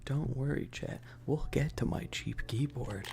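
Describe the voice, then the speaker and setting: Female ASMR streamer, very gravelly low voice, teasing and chatty with her viewers, close on the mic. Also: measured pace, background noise. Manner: playful, teasing, repeats questions to chat. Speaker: ASMR livestreamer. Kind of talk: ASMR livestream, casual chat with viewers.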